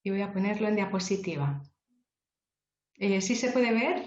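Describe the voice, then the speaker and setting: A woman's voice: mid-range voice, moderate pace, a little mellow. A woman from Spain presenting a research report in a webinar, explaining in an orderly way with a few fillers. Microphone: video call. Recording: echoey room, dull video-call audio.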